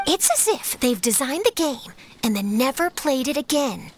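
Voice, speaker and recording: A woman's voice: high-pitched, sing-song, moderate pace, a little hiss or hum. A woman playing an eager, inquisitive young female journalist in a video game, with a perky, articulate, girlish delivery. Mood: pensive. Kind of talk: animated video game voice acting.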